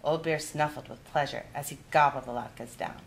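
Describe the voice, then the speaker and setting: A woman narrating a children's story in an expressive read-aloud style. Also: low-pitched, normal speed, gravelly, rough recording. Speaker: youth adviser reading a children's story.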